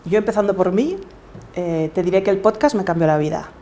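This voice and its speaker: A woman's voice: mid-range voice, fairly quick, warm tone. A middle-aged woman with a Galician accent, talking reflectively as a guest in an interview. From Galicia, Spain. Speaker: podcaster and coach, interview guest.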